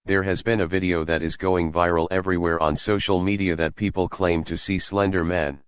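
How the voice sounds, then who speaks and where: A man's voice: low voice, steady tone, brisk, clear, resonant tone, dry recording. A man presenting a scripted newscast in a plain, earnest, announcing way.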